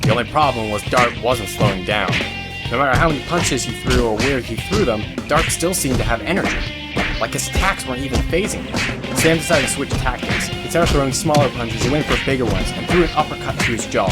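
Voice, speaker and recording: A man narrates an action-filled audio drama from a script. His voice is medium in pitch. Prominent guitar music plays loudly under everything he says. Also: fairly quick. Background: loud music with guitar.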